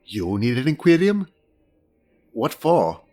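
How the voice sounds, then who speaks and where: A man acts out a coolly calculating alien official for a fantasy audio drama. He is formal and pedantic, every phrase measured, yet his mid-pitched delivery moves widely up and down. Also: big pitch swings, darkish tone, faint music behind. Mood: cool compliance. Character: precise, haughty male alien inspector, coolly calculating official.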